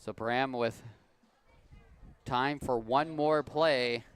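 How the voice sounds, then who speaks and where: A man calling a high school football game at an easy, unhurried pace. His voice sounds a little worn and cracks now and then. He is mid-pitched and relaxed behind the mic. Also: smooth, no echo. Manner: calm, unhurried play calling with easy laughs. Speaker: play-by-play announcer.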